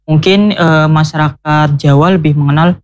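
A government official explains his office's work in a formal, halting manner. He pauses often on fillers, and his voice sits a little high for a man. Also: steady tone, a little hiss or hum. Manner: formal, hesitant, many fillers. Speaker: regional tourism and culture official. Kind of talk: interview answer. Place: radio studio.

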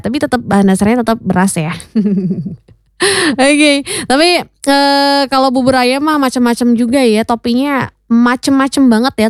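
A woman's voice: fairly high pitch, clean tone, dry recording, studio quality. A woman presenting casually as a radio host, chatting to listeners with frequent tag questions.

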